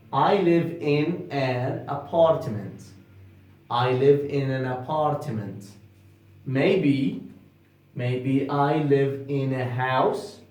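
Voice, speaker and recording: A young man with an Arabic accent teaching beginner English, repeating each model sentence slowly and clearly. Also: fairly high pitch, a little mellow. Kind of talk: beginner English lesson, slow repetition. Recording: very echoey room, distant from mic.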